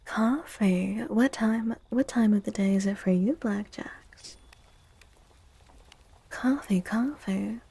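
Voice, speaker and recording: A woman hosting an ASMR livestream in a soft, breathy voice that often slips into whispers. She speaks slowly and with a mellow tone. Her manner is gentle, playful and cutesy, as she chats affectionately to her listeners. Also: mid-range voice, no echo. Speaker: livestream host.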